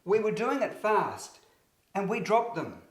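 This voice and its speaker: A man voicing earnest, excitable little schoolboys in a storybook, with an eager, childlike and emphatic delivery. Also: sits high, measured pace, voice pushed up. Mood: upset, explaining. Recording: roomy, echoing sound.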